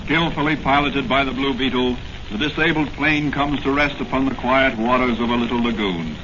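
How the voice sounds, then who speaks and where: A man's voice: medium pitch, moderate pace. A man narrating a 1940s radio serial as announcer, in a dramatic, formal style. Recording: noisy, muffled old radio recording.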